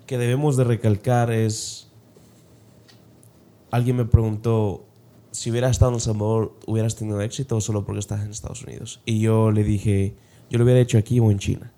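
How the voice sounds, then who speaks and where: Mellow-voiced male podcast host. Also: fairly low pitch, level tone, normal speed, pauses often. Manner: affirming, asks long questions. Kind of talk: casual interview talk.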